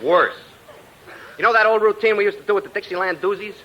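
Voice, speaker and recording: A man's voice: high voice, fast, muffled recording, heavy background noise, natural voice. A man playing an aggrieved, scheming, self-pitying sidekick with an American accent in a 1950s radio comedy. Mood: despairing. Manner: melodramatic, whining complaint.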